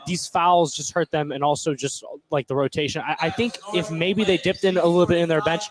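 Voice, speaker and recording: A man's voice: fairly high pitch, fairly even intonation, fairly quick, crowd noise, rough recording. An American man giving casual live analysis as a basketball color commentator.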